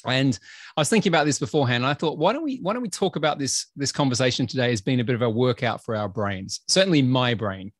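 A man's voice: mid-range voice, dry recording, clean studio sound. A man from England hosting a podcast interview, chatty and enthusiastic, often restarting his phrases. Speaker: podcast host.

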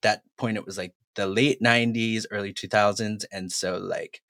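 A man's voice: on the low side, fairly even intonation, normal speed, a bit bright, no echo, clean studio sound. A man in his forties from Massachusetts tells his life story in a candid, reflective way, with frequent fillers. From Massachusetts, New England. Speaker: guest telling his story. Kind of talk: recorded personal story.

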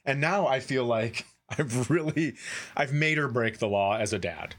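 A man's voice: mid-range voice, measured pace. A middle-aged American man hosting a comedy podcast, telling stories casually and sarcastically and acting out the dialogue. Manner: animated, acts out dialogue, sarcastic. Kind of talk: casual comic storytelling.

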